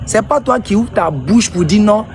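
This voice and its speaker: A man's voice: sits high, animated, normal speed, background noise. A man debating live online, sarcastic and mocking toward his opponent while addressing his viewers directly.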